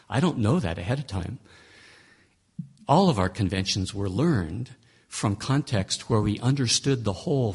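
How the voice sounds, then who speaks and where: A man with a fairly low, husky voice teaches a class on interpreting scripture. He talks in a conversational but emphatic way, pressing his points with rhetorical questions and often restarting a phrase mid-thought. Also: darkish tone. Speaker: Bible teacher.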